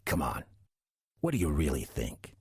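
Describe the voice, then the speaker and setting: An older demon hiding out as a church usher, played by a man, speaks in a low, very husky voice. His sarcasm is dry and deadpan, with plenty of rise and fall, as in a horror audio drama. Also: moderate pace, dry recording, heavily acted. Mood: sly, patient. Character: wry demon posing as a 63-year-old church usher. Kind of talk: audio drama acting with first-person narration.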